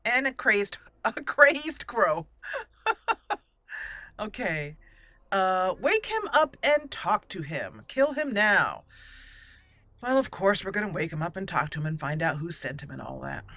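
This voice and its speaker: A woman giving casual, expressive commentary as she roleplays through a video game, talking to the characters as she plays. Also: medium pitch, slow, long pauses, no echo. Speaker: gaming channel host doing a let's play.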